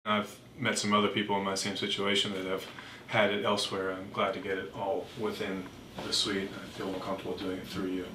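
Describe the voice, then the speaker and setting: A man's voice: low-pitched, monotone, a little fast, background noise, close to an everyday voice. A man playing an agreeable cancer patient asking questions in an amateur role play. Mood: agreeable, inquiring. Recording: roomy, distant microphone.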